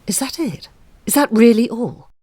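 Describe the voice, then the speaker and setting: A woman's voice: fairly high pitch, expressive, normal speed, dark tone, husky, dry recording. An actress playing a weary, wounded mother in an audio drama, speaking in a reflective, plaintive and articulate way. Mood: hurt. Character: weary, wounded married mother, wistful and earnest. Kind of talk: audio drama acting, confessional monologue.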